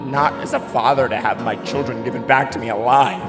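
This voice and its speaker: An actor playing an anguished, ailing father in a classical tragedy, declaiming grave, halting verse in a grandiloquent way. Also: mid-range voice, steady tone, lightly acted. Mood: bitter, grieving. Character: anguished ailing father, estranged brother of a tyrant king. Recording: long hall reverb, music underneath.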